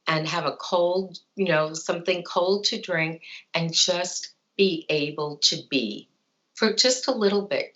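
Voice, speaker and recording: A woman's voice: deep voice, a bit bright. A woman in her fifties, a psychologist speaking as a guest on a video call interview, talking reflectively with many you-knows. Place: home room with plant and bookshelf. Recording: dull lo-fi video call audio.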